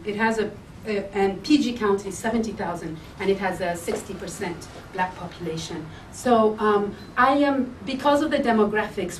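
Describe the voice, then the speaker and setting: Woman from Zambia pitching, echoey and distant. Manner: hesitant, many ums and uhs. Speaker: entrepreneur pitching a business. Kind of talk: business plan pitch. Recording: echoey room, mic far off, faint hiss.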